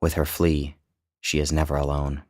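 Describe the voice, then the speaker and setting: A man narrating his own literary short story in a measured, descriptive storytelling manner. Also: rumbling low, completely flat delivery, a little mellow, slight background noise. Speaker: author and narrator.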